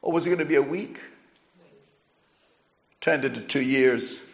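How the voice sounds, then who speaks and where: A man's voice: medium pitch, measured pace. A man from Chile with an English accent, preaching a sermon as a visiting pastor in an earnest, conversational manner with emphatic swells. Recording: dull, echoey hall sound.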